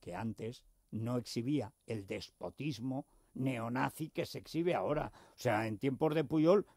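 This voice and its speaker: A male talk show host with an accent from Spain holds forth on politics. His voice is creaky and recorded dry, and his delivery is emphatic, sarcastic and full of digressions. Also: medium pitch, unhurried, a little mellow, dry recording. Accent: Spanish from Spain. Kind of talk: impassioned political discussion.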